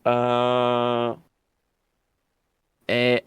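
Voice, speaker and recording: A man's voice: medium pitch, completely flat delivery, extremely slow, smooth, no echo. A young man from Puerto Rico talking casually as an interview guest, rambling and self-deprecating, with the odd swear word. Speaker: streamer guest.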